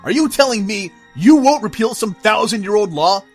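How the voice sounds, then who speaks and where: Man acting an aggrieved lovestruck stallion, high husky voice, formal and impassioned, fantasy pony drama, music underneath. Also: lively intonation, moderate pace. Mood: indignant. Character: aggrieved, lovestruck unicorn stallion defying royal law. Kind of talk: fan fiction audio drama.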